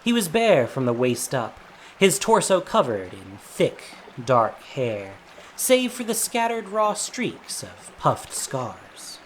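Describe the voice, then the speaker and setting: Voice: mid-range voice, big pitch swings, slow, a little hiss or hum, rough recording. A narrator reading a scripted fantasy story in a dramatic audio drama style. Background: soft music.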